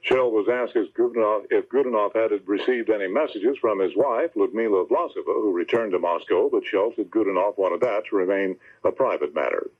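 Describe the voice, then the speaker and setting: A man's voice: fairly low pitch, brisk, hardly any pauses. A man reading scripted news as a radio anchor. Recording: muffled, narrow-band old radio recording.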